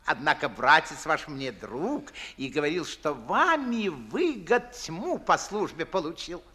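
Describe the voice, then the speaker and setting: An older man playing a fawning, garrulous Moscow gentleman in a 1950s radio verse comedy, with effusive theatrical declamation. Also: fairly high pitch, very animated, unhurried, heavily acted.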